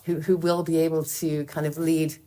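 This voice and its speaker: A woman from New York in the audience, thanking the speaker and asking a halting question with many restarts, heard from away from the mic. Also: deep voice, level tone, dark tone.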